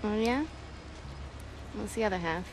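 A woman's voice: medium pitch, unhurried, background noise, lightly acted. A young woman with an American accent acting a tough, sardonic vigilante with a dry, brash manner in a video game. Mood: wry. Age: young adult.

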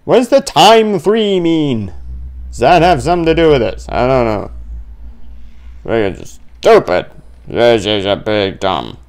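A man's voice: mid-range voice, big pitch swings, measured pace. A man giving casual, goofy let's play commentary on a horror game, talking to himself as he plays.

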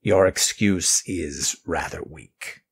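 An actor playing a guarded, courteous middle-aged mortician in an audio drama, speaking in formal, measured phrases with a husky, rough voice. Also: male voice, sits low, exaggerated voice. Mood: stern, formal.

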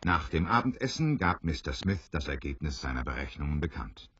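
A man's voice: extremely low pitch, sing-song, fairly quick, a bit bright, slightly rough, muffled recording. A man narrating an adventure audio drama in a scripted storytelling style.

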